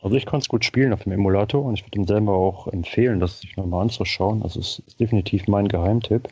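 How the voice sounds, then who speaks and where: Low, husky man, slightly muffled. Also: quick pace, dark tone, no echo. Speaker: podcast co-host. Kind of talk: casual retro-gaming podcast talk.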